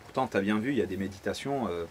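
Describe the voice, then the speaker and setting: Male host, lower voice, laid-back chatty interviewer. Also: quick pace, slight background noise. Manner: relaxed, chatty, loose phrasing. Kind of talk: casual interview. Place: outdoors by a pool.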